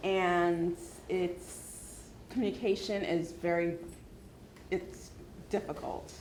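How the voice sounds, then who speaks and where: A woman in the audience describing a personal drawing in a hesitant, halting way. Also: on the low side, fairly even intonation, a little mellow, a little hiss or hum. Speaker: audience member. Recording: roomy hall audience mic.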